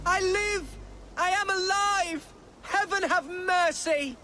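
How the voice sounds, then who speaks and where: High-voiced, pompous young nobleman. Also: slow, sharp tone, soft background music, exaggerated voice. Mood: melodramatic anguish. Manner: florid, theatrical, pompous. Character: melodramatic, naive young nobleman, florid and excitable. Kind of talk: video game cutscene acting.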